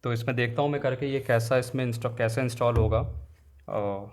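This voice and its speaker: Here is a man with an Indian accent and a mid-pitched voice. He speaks as a gear vlogger in a casual walkthrough style. He explains each point informally, slipping between Hindi and English phrases as he goes. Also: fairly even intonation, brisk, darkish tone. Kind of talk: casual unboxing and installation walkthrough.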